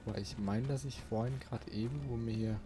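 A man's voice: medium pitch, fairly even intonation, moderate pace, very noisy. A man giving casual let's play commentary, thinking aloud and muttering questions to himself.

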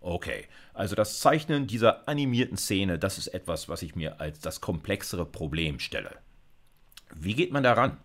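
A man's voice: deep voice, expressive, moderate pace, a bit bright. A man, explaining like a university lecturer in an informal, conversational way, often checking in with short tags. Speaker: university computer science lecturer.

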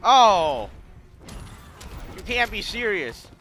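A man giving casual, excitable commentary as he plays a video game. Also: high voice, extremely slow, over music. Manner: excitable, exclaiming.

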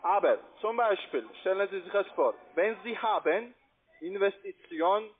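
A man with a foreign accent giving a pushy, repetitive phone sales pitch in halting non-native German. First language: not German. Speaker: call centre investment salesman. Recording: tinny band-limited phone line.

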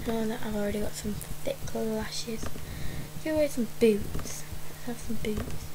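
A young woman with a hoarse, croaky voice, rambling through casual game commentary full of "like" and "um". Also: on the high side, steady tone, a little slow. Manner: rambling, lots of "like" and "um". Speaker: game channel creator. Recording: noisy home recording.

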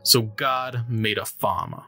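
Solemn pastor for audio drama funeral, male actor: slow, reverent delivery, mid pitch, music underneath. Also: wildly swooping pitch, creaky, pushed and bright, slightly rough, full character voice. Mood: solemn, earnest. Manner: measured, reverent, deliberate. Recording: music behind the voice.

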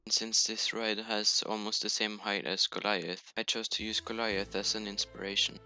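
A man's voice: lowish voice, level tone, moderate pace, sharp tone. A man with a Norwegian accent, speaking English as a second language, giving a casual voice-over for a screen recording of his video game build, with joking self-deprecating asides over poor home-mic audio. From Norway. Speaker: YouTuber. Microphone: home computer mic, poor audio.

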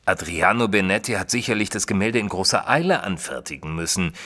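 An actor playing a thoughtful detective's companion who narrates an audio drama in a measured, careful way. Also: male voice, low voice, lively intonation, sharp tone, big-room sound, exaggerated voice. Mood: reasoning. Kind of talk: scripted first-person audio drama narration.

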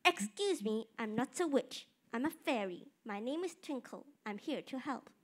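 A woman's voice: a little high, expressive, moderate pace. A girl voicing a kindly patient fairy. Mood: polite. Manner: gentle, instructive. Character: kindly fairy with light blue wings, patient. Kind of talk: school radio drama.

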